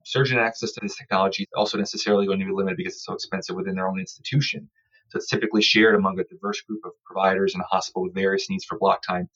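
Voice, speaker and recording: Low-voiced, quick-talking man, dull audio. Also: little pitch movement, fairly bright tone. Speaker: surgical researcher interviewed as study author. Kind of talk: formal, explanatory research interview.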